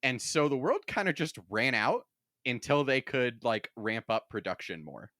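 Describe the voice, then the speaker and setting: A man's voice: medium pitch, big pitch swings, moderate pace, pushed and bright, no room sound, clean studio sound. A man hosting a podcast in casual, wry banter, joking and swearing freely as he talks. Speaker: podcast host.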